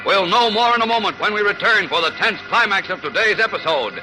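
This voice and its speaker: A man's voice: high-pitched, a little fast, poor audio. A man narrating a 1940s radio serial as announcer, suspenseful and theatrical, on an old broadcast recording. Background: music.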